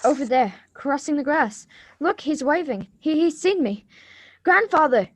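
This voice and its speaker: A woman's voice: sits high, expressive, measured pace, dry recording. A woman playing a polite, evasive, bookish schoolgirl in a live radio drama reading, with a hesitant, stammering delivery. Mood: flustered.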